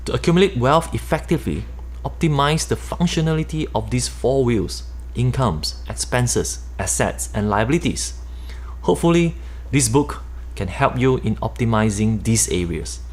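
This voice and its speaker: A middle-aged man with a Malaysian accent reading his own nonfiction book aloud in a steady, explanatory way. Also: mid-range voice, normal speed. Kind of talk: nonfiction audiobook narration.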